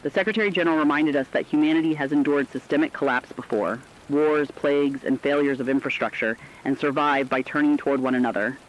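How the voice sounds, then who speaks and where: A man playing a solemn radio news anchor, reading a scripted crisis bulletin in a measured, earnest, formal way. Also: on the high side, slight background noise, lightly acted. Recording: dull lo-fi radio sound.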